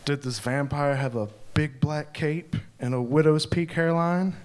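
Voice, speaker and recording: A man's voice: fairly high pitch, unhurried, clear, resonant tone, soft background music, lightly acted. A man reading the part of a bored police officer in his forties who laughs and mocks the boys, at a live table read. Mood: mocking. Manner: sarcastic, laughing and teasing. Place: live venue.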